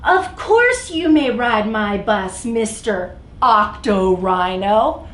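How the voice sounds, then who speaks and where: A woman voicing an obliging male blob bus driver in a picture book, with formal, drawn-out, emphatic announcements. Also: mid-range voice, expressive, a bit bright. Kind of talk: picture-book read-aloud. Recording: roomy echo, some background noise.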